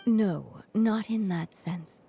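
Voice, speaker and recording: A woman voicing an elderly female writer in a game, frail but resolute, in a soft, contemplative way with some breathiness. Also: mid-range voice, gravelly, breathy edge, soft background music, full character voice. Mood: solemn, resolute. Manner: slow, deliberate, contemplative. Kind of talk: dramatic game voice acting.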